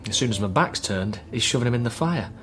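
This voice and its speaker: A man's voice: mid-range voice, lively intonation, quick pace, fairly bright tone, slight background noise, lightly acted. An actor playing a worried, guarded British man in a naturalistic radio drama, speaking in an earnest, hesitant way. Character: worried, guarded divorced son caring for troubled father. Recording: faint music under radio drama.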